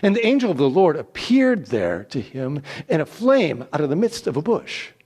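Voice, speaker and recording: A man giving a dramatic scripture reading, theatrical and deliberate, with dramatic pauses. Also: fairly high pitch, sing-song, a little mellow, slightly rough. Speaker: Bible reader.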